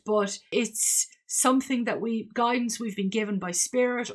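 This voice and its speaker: A woman from Ireland hosting a solo podcast, talking in a reflective, earnest way and thinking aloud with small hesitations. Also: medium pitch. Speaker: podcast host.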